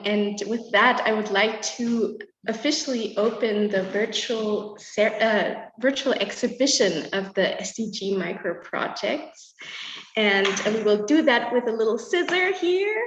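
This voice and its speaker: A woman hosting a ceremony on a video call, speaking in an emotional, effusive, halting way. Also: on the high side, a bit bright, a little hiss or hum. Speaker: host. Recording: echoey, dull room sound.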